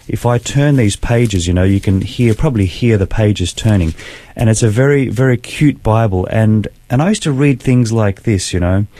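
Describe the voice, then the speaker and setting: A man, a guest telling his personal faith story in an earnest, measured way. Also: lowish voice, dark tone, no room sound. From Australia. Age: 40s. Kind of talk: personal testimony in interview.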